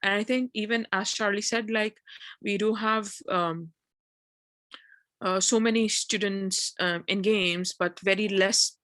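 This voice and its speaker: A woman's voice: medium pitch, fairly even intonation, a little slow, a bit bright, no echo. A woman from Pakistan with a Pakistani accent, speaking informally and hesitantly, circling through her thoughts as a participant in a group discussion. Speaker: PhD student and game developer, event participant.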